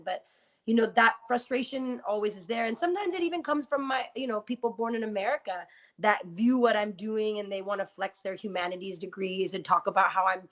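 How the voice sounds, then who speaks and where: A woman guest talking candidly in a radio interview, earnest and quick, with many "you know" fillers. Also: medium pitch, a bit bright. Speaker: guest rapper. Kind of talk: casual interview talk with rapped verses. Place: radio studio. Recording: muffled lo-fi sound.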